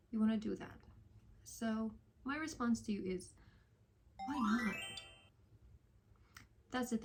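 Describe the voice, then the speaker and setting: A young woman in her twenties with a light Persian accent, chatting casually and rambling to camera about learning languages. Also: a little high. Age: 20s. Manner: chatty and rambling, self-deprecating asides. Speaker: vlogger. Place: indoors at home at golden hour, with iced coffee.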